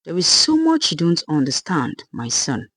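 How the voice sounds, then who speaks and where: An older village mother as a storyteller reads her from a dark folktale, serene and unfazed. She explains herself softly and patiently in a slightly breathy voice with a swaying melody, recorded dry. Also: medium pitch, wildly swooping pitch, moderate pace, dry recording, heavily acted. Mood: chillingly calm.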